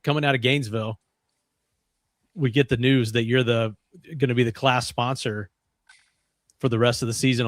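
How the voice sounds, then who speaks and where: A man's voice: mid-range voice, a bit bright, dry recording, clean studio sound. A man co-hosting a casual talk show, asking loose, rambling questions. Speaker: co-host. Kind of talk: casual podcast chat.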